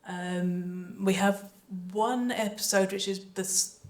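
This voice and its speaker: A woman's voice: medium pitch, slow pace. A woman speaking on a panel as a composer and sound designer, chatty, hesitant and often interrupting herself. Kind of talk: panel talk about creative work.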